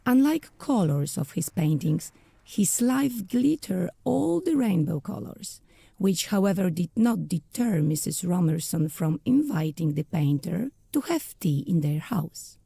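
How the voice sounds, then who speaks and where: Husky, heavily creaky female story narrator. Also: on the low side, sing-song, measured pace, warm tone, dry recording. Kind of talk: scripted audiodrama story narration.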